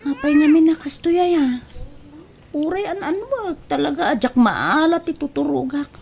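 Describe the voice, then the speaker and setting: A woman playing a fussy, bickering wife in an Ilocano comedy radio drama, with drawn-out complaints and theatrical sighs. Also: high-pitched, animated, creaky, a little mellow, slight background noise. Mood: restless, grumbling. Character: fussy, sleepless, coffee-loving wife, bickering and scheming.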